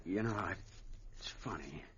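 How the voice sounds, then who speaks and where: An actor playing an exhausted young snowblind prospector in an old-time radio drama, earnest and halting. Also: male voice, deep voice, expressive, fairly bright tone, breathy edge, heavily acted. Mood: weary, earnest. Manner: weary, halting, hesitant speech. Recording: muffled, noisy vintage radio recording.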